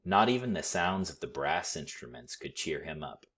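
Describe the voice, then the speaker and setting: A man reading a children's story aloud with expressive, deliberate, dramatic phrasing. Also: a bass voice. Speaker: storybook read-aloud reader.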